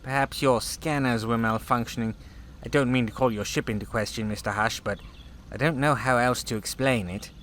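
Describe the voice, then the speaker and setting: A man playing a courteous, reserved chief officer in a sci-fi audio drama, speaking in a polite, formal, measured way. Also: medium pitch, fairly even intonation, a bit bright, a little hiss or hum, close to an everyday voice. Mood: politely probing.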